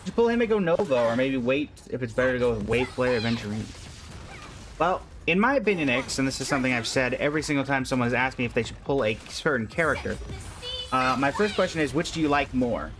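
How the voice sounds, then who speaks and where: An easygoing man in casual livestream mode, talking to viewers and offering advice in a fairly high-set voice. Game music plays clearly behind him. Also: lively intonation, fast, a bit bright. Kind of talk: casual livestream chat and commentary.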